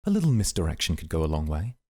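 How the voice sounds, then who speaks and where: A man playing a composed, calculating, coolly amused mafia boss, speaking in a measured, formal way in an ASMR roleplay. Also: rumbling low, huge pitch swings, a little mellow, husky, dry recording, studio quality, heavily acted. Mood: calculating, calm.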